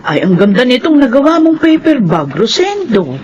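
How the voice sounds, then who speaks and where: Doting old Filipino nanay, radio drama, very animated, faint ambience behind. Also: female voice, high-pitched, moderate pace, dull audio, a little hiss or hum. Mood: fond, chatty. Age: older. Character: doting elderly mother of a prison warden.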